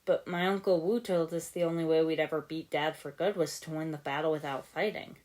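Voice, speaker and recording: A woman's voice: low-pitched, steady tone, a little fast, a little mellow, lightly acted. A woman voicing an earnest young male ninja hero, casual and sincere, in a story reading. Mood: earnest, reassuring.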